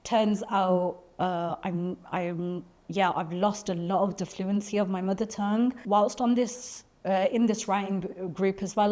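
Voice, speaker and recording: A woman's voice: mid-range voice, darkish tone. Reflective woman. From Birmingham, England. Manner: thoughtful, searching for words with frequent ums and restarts. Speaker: poet being interviewed. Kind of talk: reflective interview answers.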